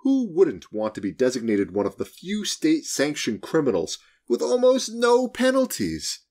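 Guy reading a short story audiobook, wry and expressive, pitch goes all over the place. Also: moderate pace. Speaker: audiobook narrator. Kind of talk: scripted short story narration.

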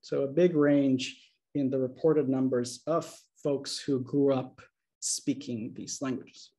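A man in his thirties giving a measured, explanatory academic lecture over a video call, checking in with his listeners. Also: medium pitch, flat delivery, mellow. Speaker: linguistics lecturer. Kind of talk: academic slide presentation. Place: small room indoors. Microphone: video call webcam.

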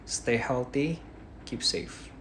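A young man with an Indonesian accent and a slightly sore throat, teaching dentistry on a phone livestream, halting, with many "yeah" tags. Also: medium pitch, noisy background. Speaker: dentist teaching a live demo. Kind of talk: live-stream teaching, reading viewer questions. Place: small bedroom at night. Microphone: phone held close at arm's length.

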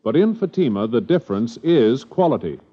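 A man's voice: medium pitch, very animated, a little fast, breathy edge. An American man announcing in the formal, declarative style of 1950s radio. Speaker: programme announcer. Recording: dull vintage radio recording, loud brass music.

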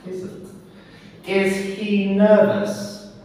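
A man's voice: high voice, completely flat delivery, extremely slow, darkish tone. A man in his thirties working as an English teacher, asking simple exam questions and giving short, encouraging praise. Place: small bare classroom, indoors. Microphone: far camera microphone. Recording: echoey room, distant microphone, faint noise.

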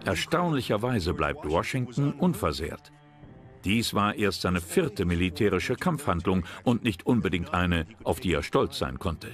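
A man giving measured, serious scripted narration for a history documentary. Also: low voice. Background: music.

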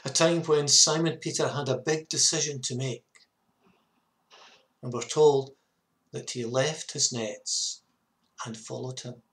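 A man in his fifties, a minister giving a measured, earnest reflective sermon to camera in a small study. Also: medium pitch, fairly even intonation, fairly bright tone. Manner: measured, earnest, with short repeated phrases. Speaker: parish minister. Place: small home study, indoors.

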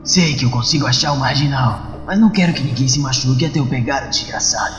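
A man's voice: medium pitch, fast talker. A man with a Brazilian accent giving dramatic narration of a comic book. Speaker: narrator and voice performer. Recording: echoey, reverberant, music underneath.

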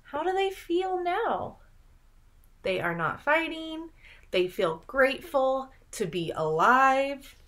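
A young woman reading a picture book aloud to children in an expressive, dramatic way, pausing to ask the listener questions. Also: high voice. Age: young adult. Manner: dramatic, drawn-out delivery with gasps and prompting questions. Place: small room at home, indoors.

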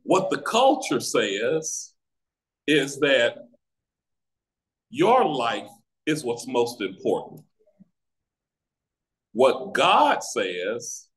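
Slow, deliberate American male pastor. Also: medium pitch, poor audio. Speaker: pastor leading a Bible study. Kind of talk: Bible teaching with scripture reading. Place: indoors, on a video call. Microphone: video call microphone.

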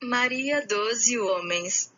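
A text-to-speech woman voice slowly pronouncing names. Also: fairly high pitch, sharp tone, dull audio, exaggerated voice. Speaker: text-to-speech name reader. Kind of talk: synthetic pronunciation of names.

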